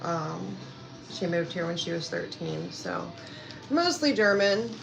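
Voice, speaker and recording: A woman's voice: lowish voice, lively intonation, slow pace, vocal fry, rough recording. A woman from Pennsylvania chatting casually with viewers at home, rambling and hesitant with lots of fillers. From Pennsylvania, USA. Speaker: self-care coach and vlogger. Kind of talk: casual live chat answering viewers. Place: at home indoors. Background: background music.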